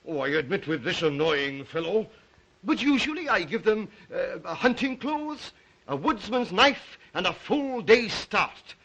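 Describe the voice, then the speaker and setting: An actor playing a suave, psychotic aristocratic hunter of men, courteous and menacing in an old 1930s melodrama style. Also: male voice, high-pitched, very animated, unhurried, a bit bright, exaggerated voice, low-quality recording. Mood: gloating. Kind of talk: 1930s melodrama film acting.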